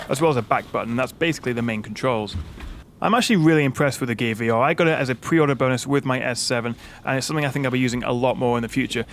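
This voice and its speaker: Fast-talking British man walking through a tech setup. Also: mid-range voice, a little hiss or hum. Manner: chatty, frequent "uh" fillers. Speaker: tech channel host. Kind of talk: product setup walkthrough.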